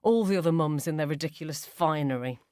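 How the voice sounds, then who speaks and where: A woman reading a first-person ghost story as scripted dramatic narration, measured and suspenseful. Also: deep voice, darkish tone, no echo, clean studio sound. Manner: suspenseful, measured, acts out dialogue.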